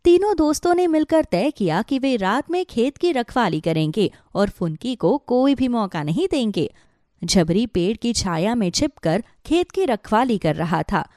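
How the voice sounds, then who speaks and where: A woman's voice: a little high, big pitch swings, measured pace, darkish tone, dry recording, studio quality. A woman narrating a scripted children's animal story.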